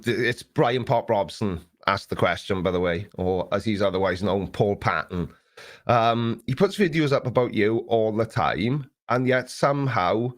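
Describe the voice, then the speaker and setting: A middle-aged man hosting a live Q&A stream, chatting casually in a rambling, sarcastic, self-mocking way. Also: on the low side.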